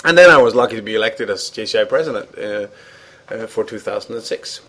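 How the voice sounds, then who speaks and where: A man's voice: lowish voice, lively intonation, quick pace, a bit bright. A man whose first language is Danish, from Denmark, speaking English as an interview guest, hesitant with many fillers and restarts. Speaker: guest, former international organization president. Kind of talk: conversational interview.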